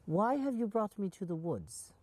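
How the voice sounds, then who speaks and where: A woman playing a haughty, demanding British gentleman in improvised comedy, pompous and carefully enunciated. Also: medium pitch, sing-song, moderate pace. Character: haughty, demanding, helpless British gentleman employer, easily outraged. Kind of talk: improvised comedy role-play.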